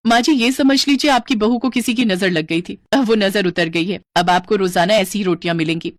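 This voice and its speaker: Woman acting a gentle young daughter-in-law. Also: mid-range voice, fairly quick, no room sound, clean studio sound, natural voice. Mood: relieved. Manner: earnest, respectful.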